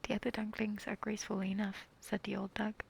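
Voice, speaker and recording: A woman reading a fairy tale aloud in a soft whisper, pausing now and then to apologize. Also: medium pitch, little pitch movement, creaky, slightly rough. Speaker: reader.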